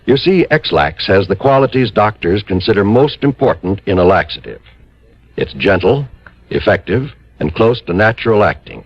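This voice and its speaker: A man's voice: fairly low pitch, expressive, moderate pace, husky, dry recording. A man announcing on 1950s radio, reading scripted advertising and credits into a close studio microphone. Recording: muffled old radio broadcast, faint music.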